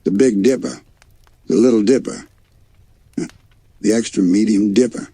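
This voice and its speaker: A man's voice: on the low side, measured pace, warm tone, husky, no room sound, studio quality, voice pushed up. A man voicing a goofy, boastful young male cartoon bear in a bedtime story, enthusiastic and slangy. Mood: proud.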